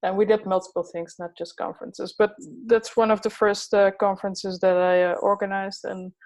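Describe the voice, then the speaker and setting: A middle-aged woman from the Netherlands, co-hosting a casual podcast chat in a hesitant way with many pauses. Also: medium pitch, flat delivery, a little mellow. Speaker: podcast co-host, coach.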